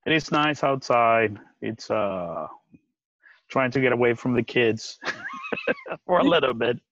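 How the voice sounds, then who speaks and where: A man in his forties from Puerto Rico, talking earnestly on a video call from outdoors, with halting restarts and frequent laughs. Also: mid-range voice, wildly swooping pitch, fairly bright tone. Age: 40s. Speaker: guest. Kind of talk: casual video-call interview. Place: outdoors on a deck by trees. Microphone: video call webcam. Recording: dry, dull lo-fi webcam sound.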